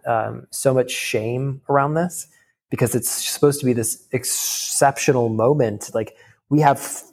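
Gravelly young man telling a raw story. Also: mid-range voice, darkish tone. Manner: casual, halting, many fillers and restarts. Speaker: guest. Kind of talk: candid personal storytelling.

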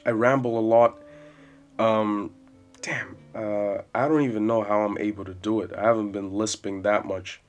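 A man in his twenties hosting a solo podcast, rambling casually and swearing freely in a loud voice with bass. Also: steady tone, normal speed, muffled recording. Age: 20s. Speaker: podcast host. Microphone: podcast mic. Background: soft jazz music.

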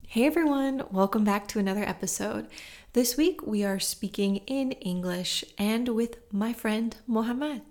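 A woman's voice: a little high, moderate pace, a little mellow. Woman podcast host, super casual and chatty, kinda reassuring.

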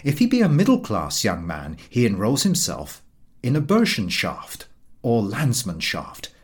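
Male audiobook narrator, highly animated pitch, slightly husky voice, wry scripted literary reading.

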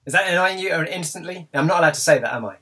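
Guy hosting a podcast roundtable, bouncy lively voice, thin tinny call audio, keeps teasing his guests. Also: on the high side, very fast, noisy background. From England. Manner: teasing, chatty, with restarts. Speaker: podcast host. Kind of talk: casual roundtable chat. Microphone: computer call input. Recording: tinny thin sound from a misconfigured call setup.

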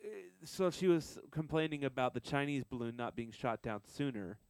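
A man co-hosting a podcast, his voice at an ordinary middle pitch, with blunt, scoffing opinions. He tells stories with stumbles and restarts. The sound is close and dry, with no room echo. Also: normal speed, smooth. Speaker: podcast co-host. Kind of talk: casual chat.

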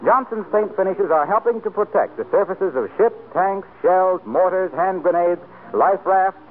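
A man's voice: high-pitched, moderate pace, phone-quality sound, soft background music. A man announcing from a script in the style of 1940s radio. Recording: noisy, thin 1940s radio broadcast.

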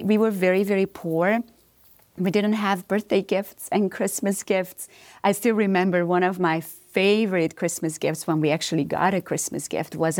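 A woman from Romania with a Romanian accent, a guest on a podcast telling her life story in an earnest, measured way. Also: fairly low pitch, darkish tone, no echo.

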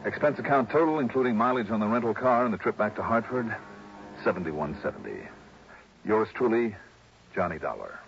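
An actor playing a shrewd, hard-boiled private investigator in mid-century radio drama, with a clipped, wry, probing way of talking. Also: male voice, on the low side, lively intonation, fairly quick, gravelly, noisy background. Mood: confronting, wry. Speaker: star of the show. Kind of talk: 1950s-60s radio drama acting and first-person narration. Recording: dull vintage radio recording with music.

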